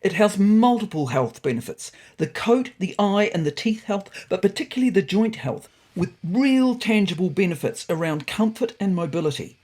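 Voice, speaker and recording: A narrator plays a brash male cat from the city in a storybook tale. He talks like a confident salesman, chatty and full of opinions, with very lively swings of pitch. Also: moderate pace, hardly any pauses. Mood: enthusiastic sales pitch.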